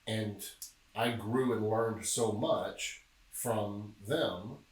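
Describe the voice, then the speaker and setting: A middle-aged man from Memphis, Tennessee, talking casually as a podcast guest and telling stories with a joking, relaxed manner. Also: fairly low pitch, extremely slow. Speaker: podcast guest, film producer. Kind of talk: casual interview chat. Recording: echoey room, distant mic.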